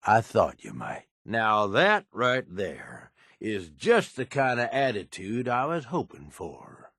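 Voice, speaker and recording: An actor voicing a gruff, streetwise raider adviser in a video game, with a husky voice and a blunt, coaxing, profane way of talking. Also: male voice, fairly low pitch, very animated, slow pace, a bit bright, no echo, clean studio sound, heavily acted. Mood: confident, coaxing. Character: gruff, streetwise male raider right-hand man, pragmatic schemer. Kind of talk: video game character dialogue.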